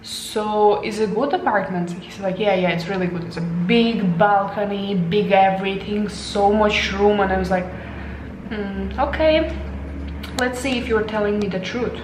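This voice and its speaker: A woman's voice: medium pitch, normal speed, a little hiss or hum. A young woman, not a native English speaker, chatting casually to a handheld camera in a vlog, laughing often and losing her voice. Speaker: vlogger. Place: tiled hotel bathroom. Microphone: handheld camera at arm's length. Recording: echoey tiled room.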